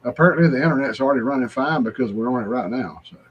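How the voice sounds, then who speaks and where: A man's voice: medium pitch, racing, husky, lo-fi sound. A man co-hosting a casual livestream, talking in a laid-back, folksy way with short interjections. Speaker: livestream co-host. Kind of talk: casual chat.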